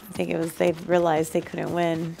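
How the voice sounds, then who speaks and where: A woman's voice: on the low side, monotone, moderate pace, creaky, dark tone, a little hiss or hum. A young adult woman in casual conversation, co-hosting a game reaction show on a headset. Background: game soundtrack music.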